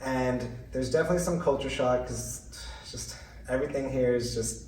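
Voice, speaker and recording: A man from California telling his story casually, relaxed and full of fillers. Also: medium pitch, moderate pace. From California, USA. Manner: relaxed, chatty, many "like" and "you know" fillers. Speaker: guest. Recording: roomy, distant mic, faint noise.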